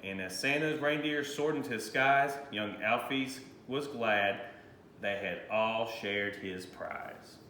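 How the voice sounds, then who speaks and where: A man reading a rhyming children's storybook aloud in an expressive, animated way. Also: medium pitch, takes it slowly. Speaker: high school principal reading a picture book aloud. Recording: roomy echo, faint background noise.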